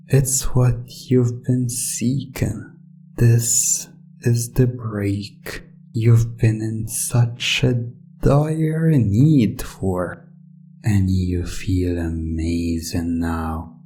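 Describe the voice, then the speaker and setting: A hypnotist delivering a scripted ASMR induction in a soft, soothing yet commanding way, with deliberate phrasing and breathy moments. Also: low voice. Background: soft plucked string music.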